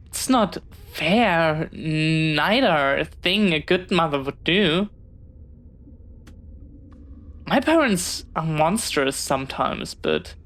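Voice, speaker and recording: A woman's voice: on the low side, a bit bright, exaggerated voice. A woman playing an eager, friendly, bookish young pirate in an audio roleplay, chatty and well-spoken with a slight hesitance. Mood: indignant. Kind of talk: one-sided audio roleplay acting.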